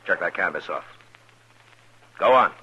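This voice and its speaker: A man's voice: sits low, expressive, unhurried. An actor playing a stern, commanding Old West lawman with an American accent and a curt manner in a 1950s radio western. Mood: firm, curt. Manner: curt, authoritative, giving orders. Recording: dull, noisy old radio recording.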